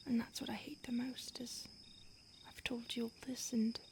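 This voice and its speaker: A woman voicing a starved, conflicted female vampire who stalks and craves her listener, speaking in a soft intimate whisper. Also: a little high, level tone, normal speed, a little hiss or hum, natural voice. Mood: grim, confiding. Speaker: audio roleplay performer. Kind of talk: whispered audio roleplay.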